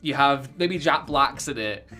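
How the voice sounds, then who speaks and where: A man co-hosting a casual podcast, joking and rambling in animated riffs. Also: mid-range voice, a little slow, faint music behind. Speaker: podcast co-host.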